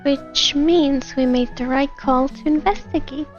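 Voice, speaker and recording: High-voiced, giggly woman streaming a game. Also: unhurried, highs cut off, faint music behind. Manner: giggly, casual. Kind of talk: gaming stream, reading along and reacting.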